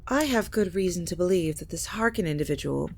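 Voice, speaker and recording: Woman acting a low-voiced, formal vampire matriarch. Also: animated, creaky, slight background noise. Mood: grave, deliberate. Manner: measured, formal, theatrically grave delivery. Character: ancient vampire matriarch, scheming scholarly mother of grown children. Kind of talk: scripted audio drama acting.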